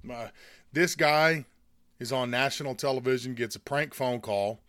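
A man from the United States, hoarse from a chest cold, giving a scornful, indignant opinion monologue as host. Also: medium pitch, moderate pace, a bit bright, no room sound, studio quality. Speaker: political commentary host.

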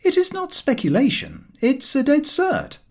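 A man's voice: extremely high pitch, wildly swooping pitch, a little slow, creaky, pitched above the performer's own voice, exaggerated voice. A man voicing a brash, breezy young clerk's son full of slang and self-assurance in an audiobook. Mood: cocky, persuasive.

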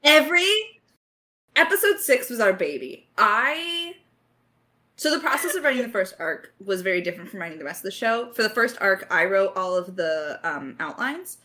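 A woman's voice: medium pitch, sing-song, normal speed, sharp tone. A woman telling stories casually as a writer in a creator Q&A, with playful mock-dramatic emphasis. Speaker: lead writer of an audio drama.

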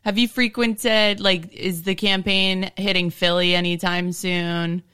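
Low-ish smooth voice, lady host chatting, teasing, drags her words out. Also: level tone, a little slow, pushed and bright. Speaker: podcast host. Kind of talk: casual chat.

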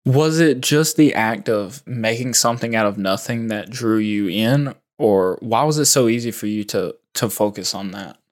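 A man hosting a podcast, reading measured, reflective narration and asking questions. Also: fairly low pitch. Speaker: podcast host. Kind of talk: scripted narration and interview questions. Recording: faint music bed behind.

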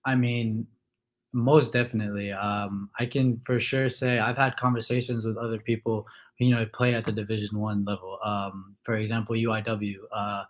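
A young man from Kuwait, a college football player, answering interview questions in a relaxed way with many fillers. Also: mid-range voice. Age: young adult.

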